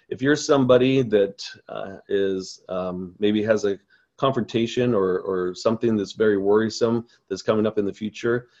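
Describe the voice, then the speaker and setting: Male qigong teacher, earnest rambling webinar talk, lowish voice, lo-fi recording. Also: darkish tone. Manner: earnest, rambling, with many fillers and restarts. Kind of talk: webinar presentation over slides. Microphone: voice-over on slides. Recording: dull lo-fi webinar audio.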